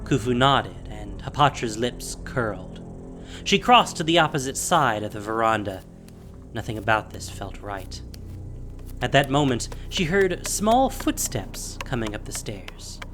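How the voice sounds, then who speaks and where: A man's voice: mid-range voice, very animated, a little slow, fairly bright tone, faint music behind. A man reading scripted dramatic fantasy narration as the storyteller of an audio drama.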